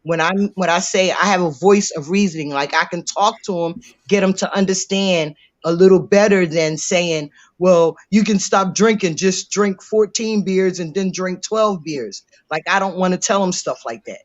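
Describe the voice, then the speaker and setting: A man's voice: sits high, normal speed, hardly any pauses. A middle-aged man in recovery talking bluntly in a casual debate, often repeating himself. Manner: blunt, repetitive, talks over others. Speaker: recovering alcoholic. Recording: dull lo-fi audio.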